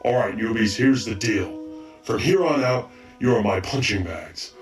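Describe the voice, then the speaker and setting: A man's voice: lowish voice, measured pace, lightly acted. Man acting a stern, haughty drill-instructor medic, roomy sound. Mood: stern, commanding. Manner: stern, commanding, exacting. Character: haughty, drill-sergeant male robot chief medic training recruits. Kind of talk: amateur audio drama acting. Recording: roomy, slightly distant mic, faint music.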